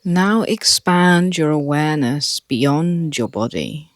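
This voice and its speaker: A meditation guide speaking calmly and softly, leading a relaxation session with long pauses. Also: mid-range voice, dry recording. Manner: calm, soft, with long pauses.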